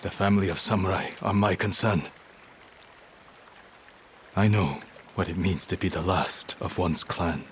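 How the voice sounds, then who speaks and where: An actor playing a stoic, honorable young samurai lord in a video game, speaking in a solemn, measured, formal way. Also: male voice, sits low, a little hiss or hum. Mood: earnest. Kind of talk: dramatic video game cutscene acting.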